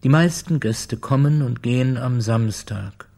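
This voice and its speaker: An actor playing a reserved, resigned keeper of holiday lodgings in a German radio crime drama. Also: male voice, medium pitch, measured pace, vocal fry, dark tone.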